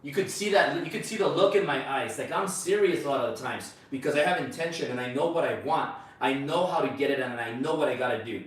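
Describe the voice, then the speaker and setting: A man with a Mexican accent hosting a casual livestream, blunt, boastful and profane as he pitches an event. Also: fast, hardly any pauses, fairly bright tone, far from the mic. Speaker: host of a livestream. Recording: roomy echo, away from the mic.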